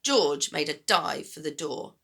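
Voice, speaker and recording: A woman's voice: sits low, expressive, measured pace, fairly bright tone, breathy edge. A woman reading a children's storybook aloud expressively, as a teacher would to pupils.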